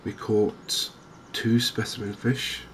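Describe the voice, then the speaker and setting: A man giving softly spoken, cozy gaming commentary in a gentle, unhurried, musing way. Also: fairly low pitch. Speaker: gaming video host. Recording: clear steady ambient background noise.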